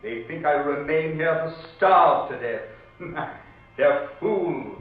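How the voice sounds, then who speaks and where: A man's voice: on the high side, lively intonation, takes it slowly, narrow, tinny audio. An actor playing a grave, bitter ghostly sea captain guarding his treasure, solemn and commanding in old-time horror radio style. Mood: bitter, grim. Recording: band-limited old radio, echoey, distant, music underneath.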